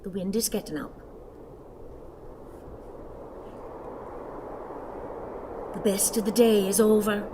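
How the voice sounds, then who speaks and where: An actress playing a self-pitying, sorrowful old woman in a radio play, with halting, declamatory, melodramatic delivery. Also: mid-range voice, fairly even intonation, big-room sound, background noise, lightly acted. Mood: brooding, wistful. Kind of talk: theatrical radio play acting.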